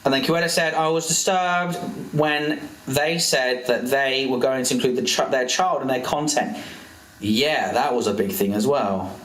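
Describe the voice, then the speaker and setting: A man's voice: mid-range voice, unhurried, fairly bright tone, echoey room, rough recording. An exasperated man ranting as a livestreamer, swearing constantly. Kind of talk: heated livestream rant.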